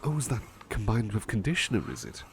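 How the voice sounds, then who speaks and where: A man playing a doting, cheeky butler in a gentle ASMR roleplay, repeating himself soothingly and often slipping toward a whisper. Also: lowish voice, animated, quick pace, a little hiss or hum, lightly acted. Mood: gentle, attentive. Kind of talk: ASMR personal attention roleplay.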